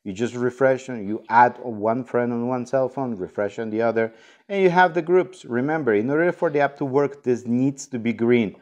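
A man's voice: medium pitch, moderate pace. A man hosting a casual instructional walkthrough, explaining each step informally. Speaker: product tutorial host.

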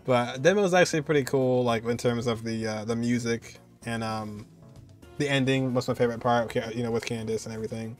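A man's voice: medium pitch, fairly quick. A man, giving informal, conversational reaction commentary. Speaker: reaction video host. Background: music.

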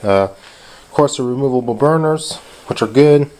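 A man's voice: mid-range voice, moderate pace. A man casually narrating his hands-on home repair in a laid-back, plain-spoken way. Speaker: home DIY video maker. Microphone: handheld camera or phone behind the lens. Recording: noisy handheld camera audio with clicks.

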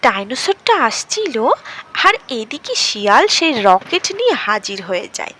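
A woman narrating an animated children's story in a dramatic, expressive storytelling manner. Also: fairly high pitch, measured pace. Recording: clearly noisy background.

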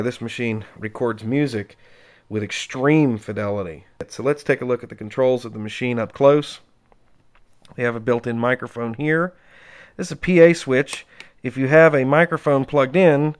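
A man's voice: mid-range voice, smooth. A man giving a casual, unscripted, chatty demonstration of equipment, explaining as he goes with dry enthusiasm. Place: indoors at home, close-up tabletop demonstration.

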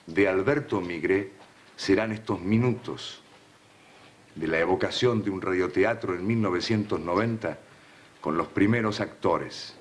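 TV host, Argentine man, middle-aged, very deep, ceremonious introductions, slightly noisy archive sound. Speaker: TV show host. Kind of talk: introduction to a studio performance. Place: TV studio.

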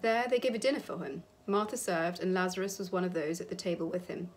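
A middle-aged woman reads scripture in a solemn, measured way with clear phrasing. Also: lowish voice, slight background noise, poor audio. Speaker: scripture reader. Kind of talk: solemn scripted Bible reading. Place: indoor room with wood panelling.

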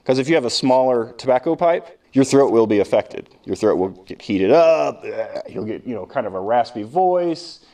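A man's voice: medium pitch, lively intonation, moderate pace, few pauses, warm tone. An American man giving an informal history lecture as a professor, conversational and often putting questions to his class.

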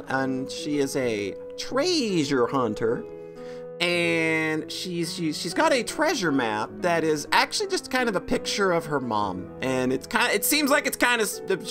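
A woman streaming a game, chatting with viewers in a self-deprecating joking way. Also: on the low side. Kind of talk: casual game stream chat.

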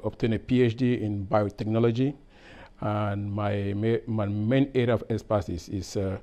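A middle-aged man from Cameroon speaks in a low, gravelly voice. He answers interview questions in a lab, pausing often with fillers and restarting his sentences. A lapel mic keeps his voice close. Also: warm tone. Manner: hesitant, many fillers and restarts. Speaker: process engineer. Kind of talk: workplace interview. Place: lab, indoors.

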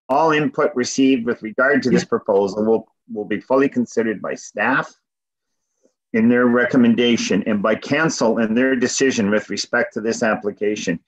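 Casting: a mid-pitched man running a council session, procedural and steady, over muffled call audio. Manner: procedural, repeats "going once, going twice". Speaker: deputy mayor chairing a council meeting. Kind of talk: formal meeting chairing. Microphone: video call.

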